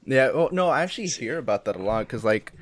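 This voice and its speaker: A man's voice: medium pitch, animated, fast talker, a little hiss or hum. A man in his twenties chatting casually as a podcast co-host. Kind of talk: casual group chat.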